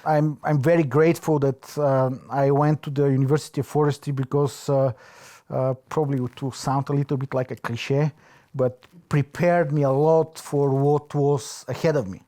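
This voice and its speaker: A man with a Bulgarian accent, reflecting in an interview, pausing often to search for his words. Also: medium pitch, flat delivery, dark tone. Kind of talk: reflective oral history interview.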